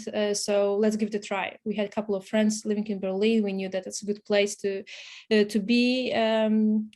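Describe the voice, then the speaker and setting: A woman with a Polish accent, a native Polish speaker, talks as a guest in an interview. Her pitch is medium and moves very little. She tells things in a casual, conversational way. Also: a little mellow. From Poland. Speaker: podcast guest, startup investor.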